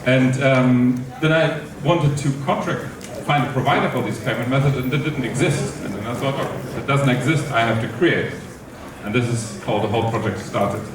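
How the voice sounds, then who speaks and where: Halting man with an echoey hall sound. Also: mid-range voice, far from the mic. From Germany. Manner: halting, many ums and restarts. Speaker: company co-founder, panel speaker. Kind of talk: conference panel talk. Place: conference stage in a large hall. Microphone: handheld mic. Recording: echoey hall, mic heard from afar, noisy.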